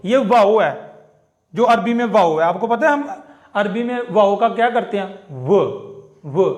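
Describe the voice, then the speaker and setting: Slow high-voiced teacher drilling sounds. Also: male voice, a little mellow, echoey room. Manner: slow, repeats sounds and example phrases many times. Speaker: English teacher. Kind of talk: live online phonetics class.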